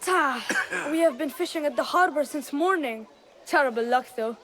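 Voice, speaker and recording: A woman voicing a cheerful, scrappy young street boy in a game cutscene, chatty and excitable. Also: sits high, normal speed. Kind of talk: cinematic game cutscene acting.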